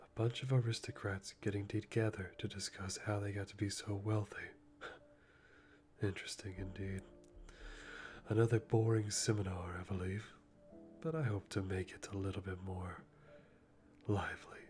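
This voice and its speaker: Methodical male hitman, acted by a man, ASMR monologue: soft, lowish, deliberate, dryly amused, light music underneath. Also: steady tone, close to an everyday voice. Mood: calm, calculating. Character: calm, methodical male hitman planning a kill.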